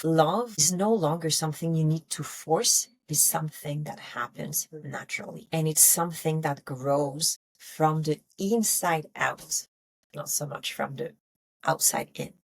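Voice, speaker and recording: Female wellness podcast host, French accent, low register with gravelly creak; intimate, candid, emphatic coaching delivery. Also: dry recording. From France. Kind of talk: intimate solo podcast talk, motivational.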